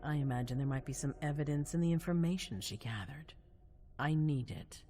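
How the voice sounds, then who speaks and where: An actress playing a composed, calculating vampire ruler in a video game cutscene, measured and authoritative. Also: female voice, low voice, dark tone, slightly rough. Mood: commanding, measured. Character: commanding vampire prince woman, composed, calculating, regal. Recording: faint music behind.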